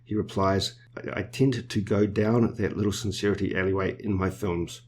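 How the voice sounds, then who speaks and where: Kiwi guy with a real low voice, pretty monotone, chatting podcast style, bit of hum behind. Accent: New Zealand. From New Zealand. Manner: measured, explanatory, with small restarts. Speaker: podcast host. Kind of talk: casual podcast discussion.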